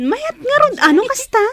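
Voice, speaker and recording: A woman's voice: extremely high pitch, exaggerated voice. A woman playing an eager, giggly young household helper in an Ilocano radio drama, with an Ilocano accent.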